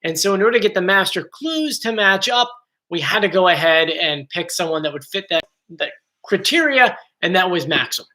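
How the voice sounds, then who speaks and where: A man's voice: high voice, lively intonation, moderate pace, bright, forceful tone. A man hosting a casual live stream from home, chatty and joking, easily drifting off topic. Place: home, bedroom area. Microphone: computer mic.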